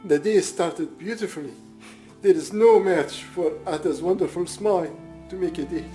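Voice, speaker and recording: Male, higher-pitched but mellow, solemn and measured, reading a formal victim statement over guitar music. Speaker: bereaved father reading a victim impact statement. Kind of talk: prepared statement read aloud.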